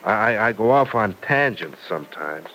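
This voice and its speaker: A man's voice: on the low side, animated, moderate pace, bright, forceful tone, slight background noise, low-quality recording. An actor playing an impatient young man in love who stammers and repeats words, in 1940s radio acting style. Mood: affectionate.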